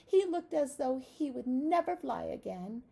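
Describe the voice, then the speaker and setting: Woman, high voice, creaky edges, expressive story reading, encouraging teacher. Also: unhurried. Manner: encouraging, addresses children with questions. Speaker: teacher reading aloud to young children. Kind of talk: expressive picture-book read-aloud with asides.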